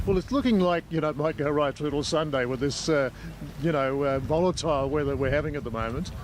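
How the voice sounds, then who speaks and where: A man's voice: a little high, fast. An Australian man working as a sports reporter, chatting through an interview on location outdoors. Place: outdoors at a golf course. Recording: noisy windy outdoor location.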